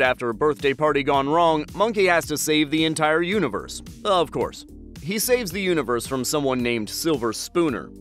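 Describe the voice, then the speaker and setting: A man's voice: medium pitch, fairly bright tone. Wry male countdown narrator. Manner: wry, dry asides.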